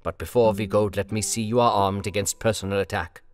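A man's voice: lowish voice, fairly even intonation, brisk, close to an everyday voice. A man doing a grave old professor. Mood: solemn. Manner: solemn, earnest old-world formality. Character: elderly learned male professor, grave and kindly.

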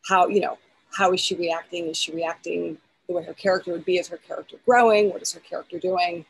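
A woman's voice: on the low side, fairly even intonation, fairly quick, vocal fry, husky, rough recording. A woman in her forties, a film editor and guest, talking eagerly over a video call from outdoors in her backyard, with many restarts and fillers. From United States.

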